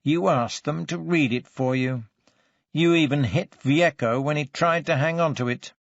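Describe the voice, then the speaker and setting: A man voicing a stern, forceful police detective in an audiobook, with a deliberate, biting, hectoring way of talking. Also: on the high side, creaky, no echo, highs cut off. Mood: weary, patient.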